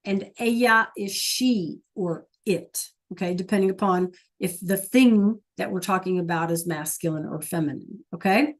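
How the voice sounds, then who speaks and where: A woman's voice: medium pitch, moderate pace, few pauses. A woman teaching an online Spanish class, patient and checking in with her students often.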